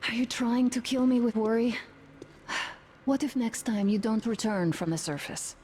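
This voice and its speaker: An actress voicing a worried, earnest young wife in a dramatic video game scene, softly pleading. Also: female voice, fairly high pitch, moderate pace, a little hiss or hum, lightly acted.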